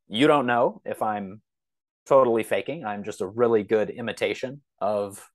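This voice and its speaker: A man's voice: fairly low pitch, moderate pace. A man speaking as a podcast guest, casual and thoughtful, exploring ideas tentatively and often rephrasing. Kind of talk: casual, thoughtful interview talk.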